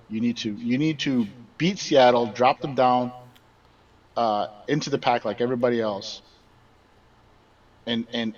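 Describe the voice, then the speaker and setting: Man, emphatic sports co-host, trips over repeated words; sounds far off and dull, like a remote line. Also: medium pitch. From Mexican background. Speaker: podcast co-host. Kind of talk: casual sports chat. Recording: dull distant remote audio.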